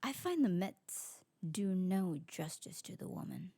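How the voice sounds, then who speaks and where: A woman playing an elegant, sociable vampire aristocrat in an ASMR roleplay, refined and formal, with a soft husky voice. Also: on the low side, big pitch swings, a little slow, dry recording, studio quality, exaggerated voice. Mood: playful. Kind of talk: scripted ASMR roleplay acting.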